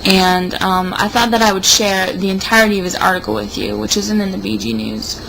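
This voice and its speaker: Tipsy young woman rambling with creaky voice. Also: mid-range voice, fairly quick. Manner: tipsy, sardonic. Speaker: vlogger. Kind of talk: casual rambling monologue. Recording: noticeable background noise.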